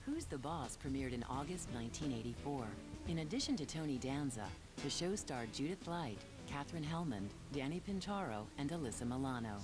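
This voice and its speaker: A man reading scripted documentary narration in a measured way. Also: mid-range voice, animated, music in the background.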